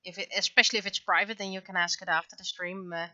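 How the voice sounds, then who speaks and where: A woman from the Netherlands, chatting casually and haltingly on a livestream as she draws, thinking aloud. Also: lowish voice, fairly even intonation, bright, forceful tone, no echo, highs cut off. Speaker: livestreaming webcomic artist. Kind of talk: casual livestream chat while drawing.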